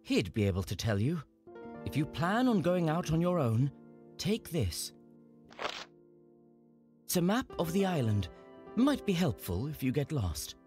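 A man playing a brisk, formal customs official in a fantasy game dub. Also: fairly high pitch, wildly swooping pitch, darkish tone, faint music behind. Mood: brisk, polite. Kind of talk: video game character dub.

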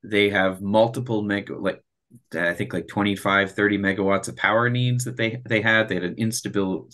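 A man's voice: on the low side, a bit bright. A man in his thirties giving an earnest, explanatory answer on a video call, often restarting his words. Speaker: presenter, space project developer. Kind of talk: explanatory presentation and Q&A. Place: small room at home or office, on a video call. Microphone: video call computer microphone.

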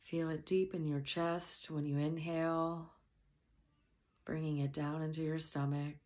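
A woman softly and gently leading a guided meditation, at times slipping into a breathy near-whisper. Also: sits low, little pitch movement, measured pace.

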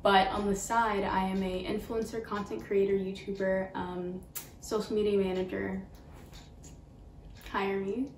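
A woman of 22 hosting a casual Q&A on her vlog, sitting on a living room couch and teasing her mother with quick asides. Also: mid-range voice, flat delivery, a little mellow, slight background noise. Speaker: YouTube vlogger, host. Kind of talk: casual Q&A chat. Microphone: camera mic, from a distance. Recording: roomy, a bit distant.

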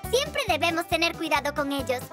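An eager young girl from a kids' cartoon, explaining things brightly. The voice sits very high. Music plays along behind her. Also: very animated, fairly quick. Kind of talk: cartoon dub.

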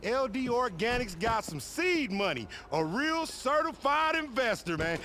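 A man's voice: very high-pitched, very animated, measured pace, background noise, heavily acted. A man playing a boastful, foul-mouthed young hustler in a video game cutscene, with an American accent and slangy, cajoling banter. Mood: pleading, upbeat.

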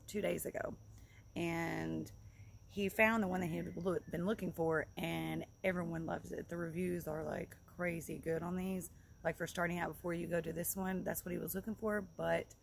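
Casual female vlogger, lowish voice with strong creak, loose and repetitive delivery, recorded outside. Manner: rambling, informal, repeats herself. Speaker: vlogger reviewing fishing gear. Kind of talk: casual product review to camera. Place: outdoors in a leafy yard by a log building. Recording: clear outdoor background noise.

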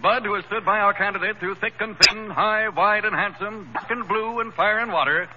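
High-pitched male 1930s radio announcer. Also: a little fast. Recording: muffled 1930s radio with hiss.

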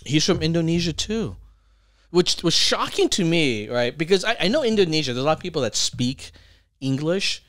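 A man's voice: a little high, very animated, moderate pace, a bit bright. A middle-aged man co-hosting a reaction show, chatting casually and joking as he thinks aloud, recorded on a desk podcast mic in a home studio. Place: home studio with colorful backdrop.

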